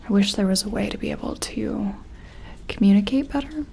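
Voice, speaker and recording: A woman doing a gentle ASMR roleplay as a careful scientist examining an alien, speaking softly and often slipping into a whisper, hesitant and reassuring. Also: mid-range voice, brisk, a little hiss or hum. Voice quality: soft, breathy, often dropping to a whisper.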